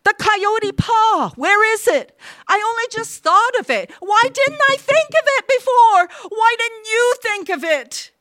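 A woman's voice: high voice, very animated, takes it slowly, few pauses, bright, forceful tone, dry recording, clean studio sound, voice pushed up, heavily acted. A woman voicing a grief-maddened elderly mother in a dramatic story, breathless, hysterical and demanding. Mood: hysterical urgency. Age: older. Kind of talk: dramatic storybook character acting.

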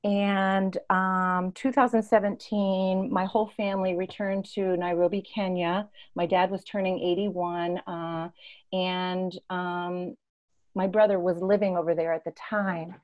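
A woman in her fifties from East Africa, an interview guest telling reflective personal stories in an expressive, emphatic way. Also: on the low side, measured pace, highs cut off. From born and raised in East Africa.

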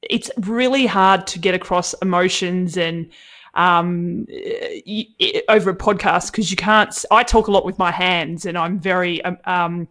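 An Australian woman hosting a podcast, talking in an animated, casual way and laughing a lot. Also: lowish voice, measured pace. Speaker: podcast host. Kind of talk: casual interview chat.

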